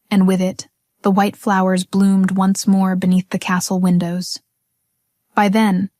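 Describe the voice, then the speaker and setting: A woman narrating a scripted romantic fantasy story in measured storytelling phrases. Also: medium pitch, a little mellow, dry recording, studio quality.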